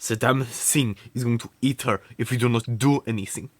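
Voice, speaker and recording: A man acts as a stern fantasy academy professor. His mid-pitched voice is formal and urgent, with worry under the authority, in an audio play. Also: steady tone, moderate pace, no room sound, close to an everyday voice. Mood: alarmed. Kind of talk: amateur fantasy audio roleplay acting.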